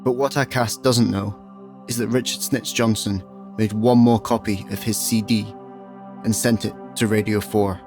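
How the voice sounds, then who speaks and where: A man with a Scottish accent narrating a comic radio play in a deadpan way. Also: lowish voice, moderate pace, natural voice. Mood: wry. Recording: music behind the voice.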